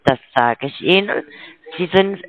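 A woman's voice: lowish voice, expressive, unhurried. Pushy woman scam caller on a dull line. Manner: pushy, turns insulting. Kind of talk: phone sales call.

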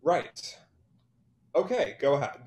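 A man's voice: a little high, big pitch swings, takes it slowly, darkish tone. A young man reading the part of a sarcastic, impatient music performer in a play. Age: young adult. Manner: curt, sarcastic. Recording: echoey room on video call.

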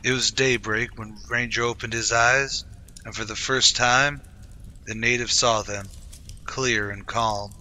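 A man narrates in the dramatic manner of an old-time radio western. His voice is bright and forceful, sitting at a medium pitch. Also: steady tone, measured pace.

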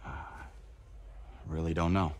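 A courteous middle-aged boss in a video game. His deep voice is measured, reserved and a little guarded. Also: level tone, darkish tone. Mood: solemn. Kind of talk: video game cutscene acting.

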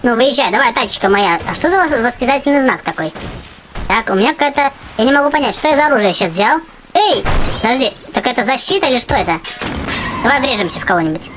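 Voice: medium pitch, big pitch swings, fast, noisy background. A gaming channel host giving casual, exclamatory gameplay commentary for children, addressing viewers as friends. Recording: music behind the voice.

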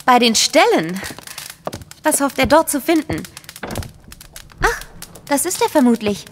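An actress playing a polite, composed young gentlewoman with a formal, earnest manner, in a German audio drama. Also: high-pitched, big pitch swings, a little slow, big-room sound, a little hiss or hum. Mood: anxious, earnest.

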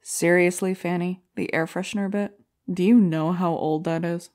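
A woman plays a jaded, competitive comedienne in an audio drama. Her voice sits fairly low, and she talks in a sardonic, grudging, sceptical way. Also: steady tone, normal speed, a little mellow, close to an everyday voice. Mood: scornful.

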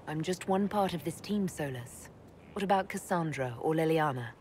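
A woman's voice: sits low, animated, moderate pace, a little mellow, a little hiss or hum. A woman playing a modest, composed human leader in a fantasy video game, speaking in a plain, level-headed way. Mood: composed, questioning. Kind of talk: video game dialogue acting.

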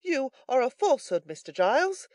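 A man's voice: very high voice, sing-song, fairly quick, a little mellow, dry recording, studio quality, pitched above the performer's own voice. A man voicing a short, very polite, frightened servant in an audiobook, nervous and deferential. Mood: defensive.

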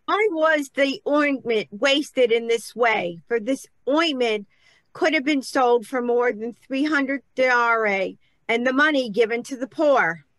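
An earnest, questioning disciple in a scripture drama, read by a woman. The voice is fairly high and the sound is dry and close. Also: measured pace, a bit bright, no room sound. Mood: indignant. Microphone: video call.

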